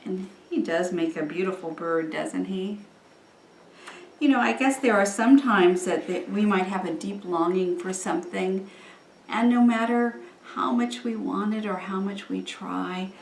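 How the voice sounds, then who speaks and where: Slow-talking woman in an echoey room. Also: medium pitch, vocal fry. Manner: gentle, pondering, pauses mid-phrase, seeks agreement. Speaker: children's church lesson leader. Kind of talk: reflective talk to children about a story. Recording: echoey room with faint background noise.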